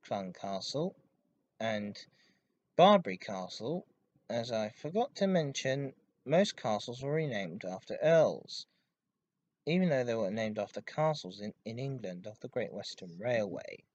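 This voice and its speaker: A man presents an informative enthusiast podcast by himself. His voice sits at a medium pitch for a man. He speaks in a halting way, putting stress on his intensifiers as he explains the history. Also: sing-song, a little mellow, highs cut off. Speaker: podcast host. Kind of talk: informative enthusiast monologue about railway history.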